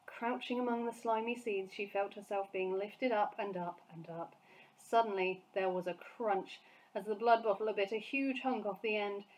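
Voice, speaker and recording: A woman teacher reads a children's novel aloud in a clear, expressive way. Her voice sits at an average pitch for a woman, and she paces the narration for young listeners. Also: normal speed, poor audio. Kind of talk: storybook read-aloud for children.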